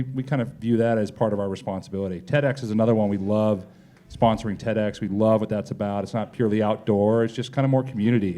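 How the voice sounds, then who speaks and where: A man with a low, relaxed, mellow voice answers questions as an executive at a live talk. He speaks conversationally, softening his points with small filler phrases. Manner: conversational, hedging with kinda and you know. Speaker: company executive being interviewed. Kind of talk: live panel interview answers. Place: on stage at a live pub talk event.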